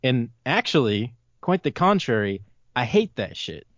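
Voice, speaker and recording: A man's voice: mid-range voice, big pitch swings, measured pace, dry recording, muffled recording. A twenty-year-old man chatting casually and bluntly as a podcast guest. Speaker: podcast guest, video maker.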